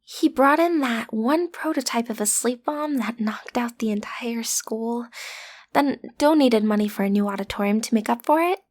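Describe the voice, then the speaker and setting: A woman's voice: fairly high pitch, moderate pace, darkish tone, natural voice. A woman playing a cute teenage girl who is training to be a supervillain, sassy and theatrical but a little awkward, in a roleplay audio drama. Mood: proud, explaining. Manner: theatrical, sassy, hesitant when breaking character.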